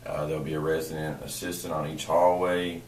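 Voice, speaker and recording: A man's voice: rumbling low, flat delivery, brisk, a little mellow. A man on the college housing staff answering interview questions in a hesitant way, with many fillers. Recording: roomy, distant, light noise.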